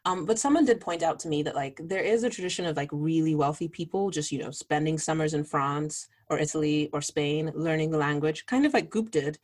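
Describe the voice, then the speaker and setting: A woman co-hosting a podcast in casual chat, thinking aloud in a relaxed way with easy laughs. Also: deep voice, fairly quick, few pauses. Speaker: podcast co-host.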